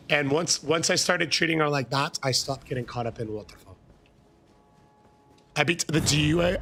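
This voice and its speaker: An Irish man hosting a live gaming stream, his voice hoarse and worn from shouting. His tone is gravelly and sits somewhat high for a man. He chats loosely and excitedly with viewers, now and then erupting into loud, happy shouts. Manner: excitable, bursts into shouting while thanking viewers. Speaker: gaming livestreamer. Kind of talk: casual live-stream chat.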